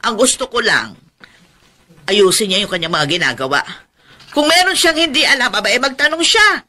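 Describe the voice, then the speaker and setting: An actress playing a touchy, self-pitying older aunt in a radio drama, plaintive and reproachful. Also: female voice, fairly high pitch, very animated, moderate pace, pushed and bright, full character voice. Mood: indignant. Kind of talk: Filipino radio drama acting.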